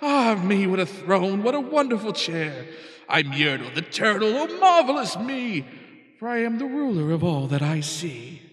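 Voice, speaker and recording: A man voicing a pompous, bossy male turtle king in a storybook reading, loud and boastful. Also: high voice, big pitch swings, normal speed, voice pushed up. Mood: gloating. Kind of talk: storybook read-aloud. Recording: long hall reverb.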